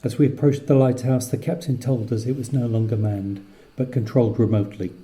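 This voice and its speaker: A man's voice: mid-range voice, fairly even intonation, a little fast, warm tone, roomy sound. A man reading scripted literary narration as the writer and narrator of a story.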